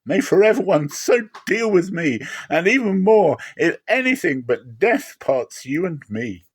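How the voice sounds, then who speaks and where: A man's voice: high-pitched, big pitch swings, measured pace, husky, voice pushed up, full character voice. A man voicing a devoted, determined young widow with an impassioned, solemn manner. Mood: fervent, resolute.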